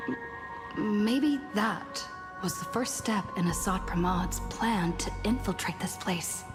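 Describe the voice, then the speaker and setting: An actress playing a pensive young woman in a fantasy video game, speaking in a hushed, reflective way. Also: mid-range voice, slow pace, natural voice. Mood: troubled, reflective. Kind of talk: dramatic video game acting. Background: music.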